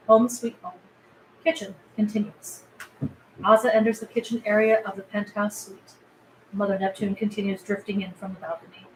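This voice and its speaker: A woman's voice: mid-range voice, low-quality recording. A woman narrator reading screenplay stage directions aloud at a table read. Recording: faint background noise.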